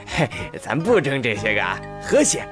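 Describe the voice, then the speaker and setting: An actor playing a goofy, eager junior patrol officer in a comedy radio drama, breezy and folksy with small stammers. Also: male voice, medium pitch, wildly swooping pitch, normal speed, music in the background. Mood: placating.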